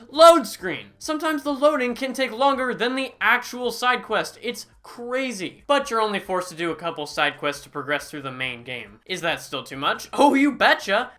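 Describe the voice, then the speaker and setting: A young man narrates a comedic game review voice-over in a high, sharply bright voice. His delivery is sarcastic and swings widely in pitch, with sudden exaggerated outbursts between dry asides. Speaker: gaming YouTuber.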